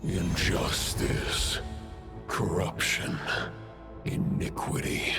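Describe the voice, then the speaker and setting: A man playing a menacing, contemptuous ancient abyssal foe in a video game, with a deep, gravelly voice. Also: level tone, extremely slow, over music, heavily acted. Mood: grim contempt. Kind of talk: video game villain acting.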